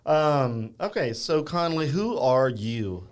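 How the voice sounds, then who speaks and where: Male podcast host, mid-pitched, casual and hesitant, frequent filler words. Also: sing-song, slow. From Louisiana. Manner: easygoing, hesitant, many ums and uhs. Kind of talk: casual interview chat.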